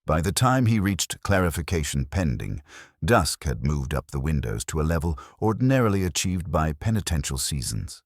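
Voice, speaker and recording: Male narrator for a wry mystery novel: very deep, formal, lively intonation, close dry sound. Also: moderate pace, dry recording. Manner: formal, dryly ironic. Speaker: narrator and reader. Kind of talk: scripted literary mystery narration.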